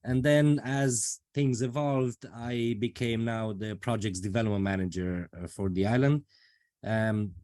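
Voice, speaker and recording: A man from Romania with a fairly low voice, speaking English as a second language. He talks at an easy, considered pace, stopping often to search for words with plenty of ums. He comes across as a friendly interview guest explaining his work. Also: mellow. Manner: thoughtful, with many filler pauses. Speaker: project manager, guest. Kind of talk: casual interview.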